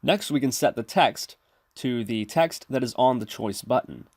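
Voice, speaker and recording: A man's voice: medium pitch, lively intonation, moderate pace, darkish tone, no echo. A man giving scripted instructional narration as a tutorial presenter, explaining methodically step by step. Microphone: close mic, screen-recording voice-over.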